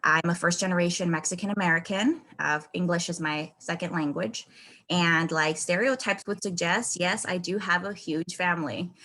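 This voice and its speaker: A woman's voice: lowish voice, moderate pace, bright, forceful tone. A woman from California giving her first personal speech at an online club, friendly and candid with frequent ums. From Oceanside, California. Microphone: video call.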